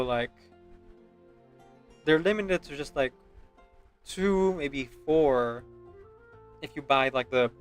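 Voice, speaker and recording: A man giving casual, rambling gaming stream commentary, often checking in with "right?" as he explains. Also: mid-range voice. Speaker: video game livestreamer. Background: background music.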